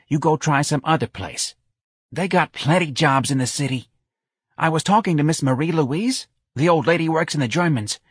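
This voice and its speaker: An audiobook mother, acted out by a man, middle-aged, working-class and forever fussing. The voice is husky and swoops up and down in a folksy, plaintive way, recorded close and dry. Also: fairly high pitch, no room sound, voice pushed up. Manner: folksy ungrammatical dialect speech. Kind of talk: audiobook character voice.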